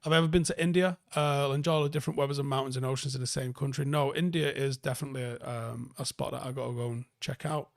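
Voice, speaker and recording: Gravelly northern English man, casual streamer. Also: mid-range voice, steady tone, quick pace, few pauses, dry recording, studio quality. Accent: northern English, softened Manchester. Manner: sweary, blunt, informal. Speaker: livestream host, YouTuber. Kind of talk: casual chat, answering viewer comments.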